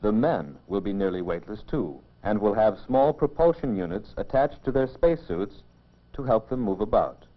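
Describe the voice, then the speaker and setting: A man giving measured, explanatory scripted narration for a mid-century educational film, on a dull old film soundtrack. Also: fairly low pitch, lively intonation.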